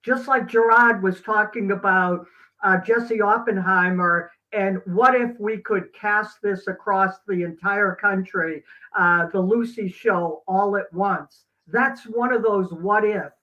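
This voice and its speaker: A middle-aged man speaking as an interview guest, reflective and earnest, with halting, emphatic pauses. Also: high-pitched, sharp tone, muffled recording. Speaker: guest, doctor and teacher.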